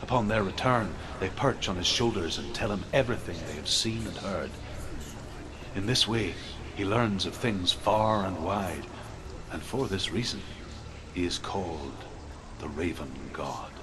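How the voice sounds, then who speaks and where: An actor playing a grave storyteller of Norse legends, speaking in a measured, portentous way in a video game. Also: male voice, low voice, background noise. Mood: solemn, grave. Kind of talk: solemn mythic storytelling.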